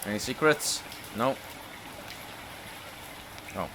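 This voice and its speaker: A man's voice: lowish voice, expressive, very slow, noisy background. A man who is not a native English speaker, hosting a let's-play with informal, chatty commentary, jokey asides and some reading aloud. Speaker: gaming video host.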